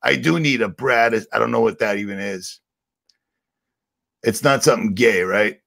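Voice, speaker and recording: A man with a raspy voice, ranting sarcastically and rambling on a livestream as the channel host. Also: lowish voice, moderate pace, fairly bright tone. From Boston area. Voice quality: raspy, gravelly.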